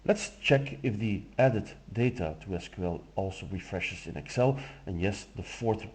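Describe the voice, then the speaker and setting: Deep-voiced Dutch-native man demoing software. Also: normal speed. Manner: relaxed step-by-step explaining with fillers. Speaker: software demo presenter. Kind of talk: screen-recorded software walkthrough.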